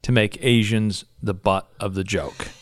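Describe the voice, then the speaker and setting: Halting male interviewer, dry sound. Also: on the low side, fairly even intonation, dry recording. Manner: halting, restarting as he builds a question. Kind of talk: interview questions.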